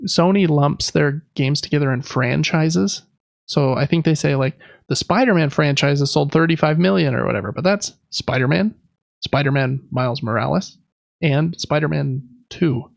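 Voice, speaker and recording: A man's voice: fairly high pitch, moderate pace, gravelly, no echo. A man hosting a podcast, casually recapping news in a conversational way with explanatory asides. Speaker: podcast host.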